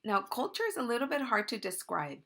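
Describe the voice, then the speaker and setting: A woman's voice: on the high side, big pitch swings, a little fast, creaky, sharp tone. A woman from Mexico, raised in the United States, telling a children's story in a church. She explains things simply and often checks in with "right?". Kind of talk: gentle children's story talk. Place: church sanctuary.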